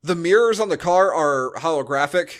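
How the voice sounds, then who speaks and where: Male podcast co-host, slightly high voice, very lively intonation, sarcastic, sweary, casual movie banter. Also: normal speed. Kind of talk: casual film discussion chat.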